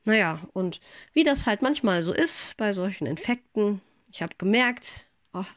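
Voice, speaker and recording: A middle-aged woman pastor giving a calm spoken devotional, her voice a little congested as she recovers from an infection. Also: medium pitch, measured pace, no echo, clean studio sound. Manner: calm, gentle, reflective address to listeners.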